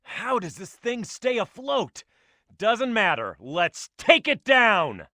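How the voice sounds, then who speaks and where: A man's voice: high-pitched, sing-song, slow pace, pushed and bright, dry recording, clean studio sound, exaggerated voice. A man playing a tough, gung-ho echidna brawler in a video game, brash and punchy. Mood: gung-ho, excited. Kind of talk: video game in-action voice lines.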